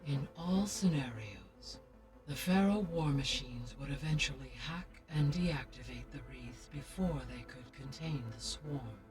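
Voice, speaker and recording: A composed, coolly precise female AI in a video game, every line even and clinical. She is heard from some distance off the mic, with faint score music running underneath. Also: very deep voice. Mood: calm. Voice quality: measured, clinical delivery. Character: calm, precise female AI intelligence.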